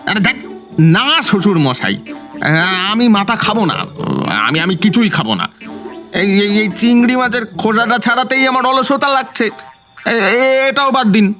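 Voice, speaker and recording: A man's voice: extremely high pitch, expressive, moderate pace, sharp tone, faint music behind, heavily acted. An actor playing a gluttonous, bumbling young son-in-law in a comic audio drama, whining and stammering as he feigns refined manners. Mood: flustered, polite.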